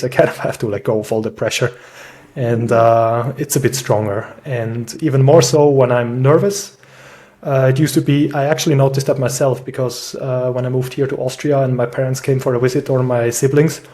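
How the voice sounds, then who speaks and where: A man's voice: medium pitch, darkish tone. Swiss man chatting casually. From Switzerland. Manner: chatty, self-deprecating, laughs often. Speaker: podcast guest. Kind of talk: casual conversational interview.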